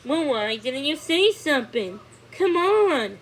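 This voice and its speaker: Storybook role: sweet, tiny young girl played by a woman, high-pitched, gushing and excitable, unhurried pacing. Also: a little hiss or hum, full character voice. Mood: excited, giggly. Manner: breathless, gushing, exclaiming. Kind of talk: storybook character voice.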